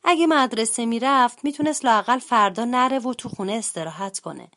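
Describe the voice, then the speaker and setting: A woman voicing a schoolboy bear in a children's story, talking brightly and eagerly. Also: a little high.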